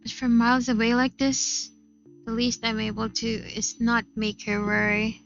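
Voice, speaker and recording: A woman reading a visual novel aloud in an expressive, unhurried first-person narration. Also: a little high, creaky, muffled recording. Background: game music.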